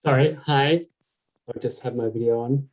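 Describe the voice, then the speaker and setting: A man's voice: mid-range voice, fairly even intonation, normal speed, darkish tone. A man, a PhD student, introducing himself casually on a video call. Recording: muffled video call audio.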